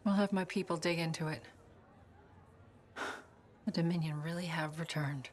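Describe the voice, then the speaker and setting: A middle-aged woman playing a composed, commanding spymaster in a video game, measured and businesslike. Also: low voice, fairly even intonation, slight background noise, lightly acted. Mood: grim. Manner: measured, controlled.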